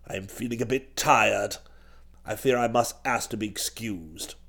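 A storybook old English duke, as a male narrator puts him on, pitched very low. He speaks with clipped, pompous formality and stays icily polite while bristling with offended dignity. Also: unhurried, vocal fry, fairly bright tone, heavily acted. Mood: icily calm. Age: older.

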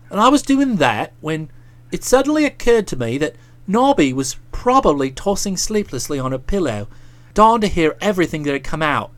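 A bluff, self-satisfied young gentleman author, as a woman reader puts him on, his voice dropped low and drawling. He lectures and explains himself at length with lively swoops of pitch. The recording is close and dry. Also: dry recording.

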